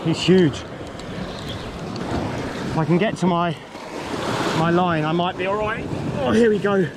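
A man excitedly commenting on his own catch while fishing from a shoreline, exclaiming and swearing as he goes. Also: sits high, unhurried. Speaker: angler filming himself. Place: rocky seashore outdoors. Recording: wind and waves at a shoreline. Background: wind and sea ambience.

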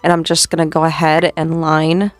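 Young woman, lowish voice kinda sore, chatty makeup vlog talk, dry close mic, faint music behind. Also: monotone, moderate pace, dry recording. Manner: chatty, self-deprecating, talks while doing makeup. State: slightly sore voice. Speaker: makeup vlogger. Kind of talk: casual get-ready-with-me chat. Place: small bedroom with red curtain backdrop. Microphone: small desk mic on boom arm close up.

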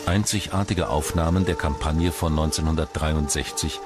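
A man reading scripted documentary narration in a measured, articulate storytelling manner. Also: extremely low pitch, fairly even intonation. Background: music.

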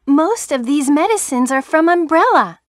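A young female rookie medic in a 1990s video game. The voice is extremely high and girlish, recorded dry, with a stiff, eager-to-please delivery. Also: lively intonation, moderate pace, dry recording. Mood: helpful, concerned.

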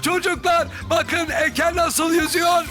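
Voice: very high-pitched, steady tone, slow, pushed and bright, soft background music, full character voice. An actor playing a kindly, affectionate old grandfather in a preschool cartoon, explaining things patiently. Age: older.

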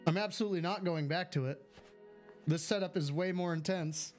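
A man's voice: high-pitched, a little fast, soft background music. A man chatting casually on a live game stream, reading chat aloud and answering it, on a studio mic at home. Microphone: studio mic with filters.

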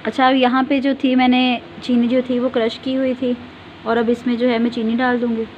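A woman's voice: a little high, level tone, moderate pace, lo-fi sound, a little hiss or hum. A woman hosting a home cooking video, patiently walking viewers through each step and pointing out what to look at. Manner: patient, repeats fillers often, directs viewer to look. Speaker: home cooking channel host.

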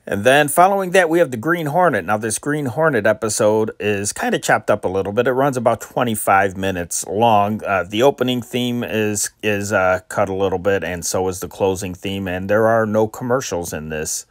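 A man's voice: fairly low pitch, dry recording, studio quality. A man hosting an old-time radio channel, introducing programs in a casual, chatty, rambling way.

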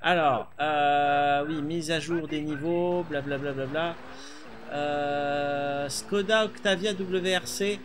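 A man's voice: fairly high pitch, steady tone, takes it slowly, a little hiss or hum. A middle-aged man streaming a rally game from a small room, chatting casually and thinking aloud with many fillers. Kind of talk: live gameplay chat.

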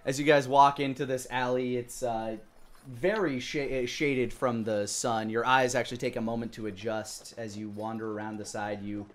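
Casting: male game master, thirties, mid-pitched, halting improvised narration. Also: level tone. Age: 30s. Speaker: dungeon master, host. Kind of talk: narrating a live-streamed tabletop game.

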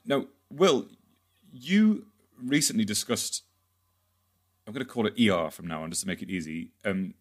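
A man's voice: fairly low pitch, expressive, normal speed. A man hosting a podcast discussion, hesitant, with repeated words and fillers. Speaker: podcast host.

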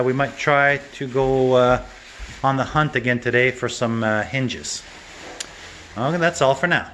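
A man's voice: medium pitch, fairly bright tone, a little hiss or hum. A man giving a casual, rambling walkthrough of his kitchen renovation with lots of fillers, recorded on a handheld phone in the kitchen. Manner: rambling, many fillers and restarts. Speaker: home renovation vlogger. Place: kitchen under renovation, indoors. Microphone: handheld phone camera.

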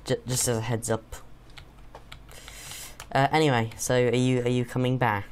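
A young man with a slightly croaky sore throat, chatting and teasing his father while they play a game together, often pausing with ums. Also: mid-range voice, little pitch movement, a little hiss or hum. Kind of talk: casual gaming chat.

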